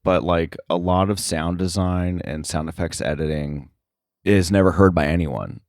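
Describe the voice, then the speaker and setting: A man's voice: deep voice, moderate pace, a little mellow, no room sound, studio quality. A man speaking casually as a podcast guest, a sound effects editor with a self-deprecating, chuckling way of talking. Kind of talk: casual interview conversation.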